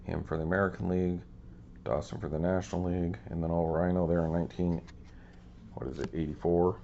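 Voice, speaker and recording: A man's voice: sits low, flat delivery, a little fast, vocal fry, mellow, muffled recording. A man hosting a casual show-and-tell of his cards, easygoing and rambling with lots of ums, his voice a little scratchy today. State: slightly scratchy voice. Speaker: card collecting channel host.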